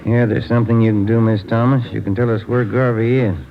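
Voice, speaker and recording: A man's voice: mid-range voice, fairly even intonation, brisk, close to an everyday voice. A man playing a homely but firm police detective partner in an old radio drama, with a folksy, blunt manner. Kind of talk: 1940s-50s radio drama acting. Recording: dull old radio recording, clattering dishes behind.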